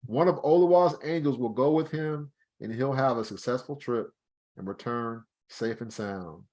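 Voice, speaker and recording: A man raising his voice to play an old, devout father, steady and reassuring. He speaks blessings over his son and calms his worried wife, as in a retold scripture story. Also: on the high side, moderate pace, voice pushed up, close to an everyday voice, low-quality recording.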